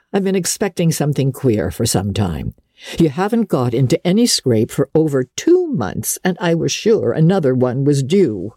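A woman voicing a stern older spinster guardian who scolds briskly and sarcastically. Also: low voice, warm tone, slightly rough, no room sound, clean studio sound, full character voice. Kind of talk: audiobook character reading.

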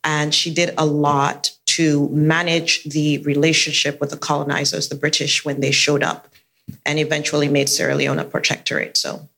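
Woman, from Nigeria, low voice, panel speaker, personal storytelling, self-assured, emphatic. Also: vocal fry, a bit bright.

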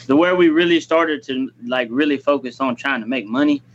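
A man's voice: mid-range voice, fairly quick, highs cut off, slight background noise. A man in his twenties answering interview questions casually, restarting his phrases and replying politely with "yes, sir.". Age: 20s. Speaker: guest, young business owner.

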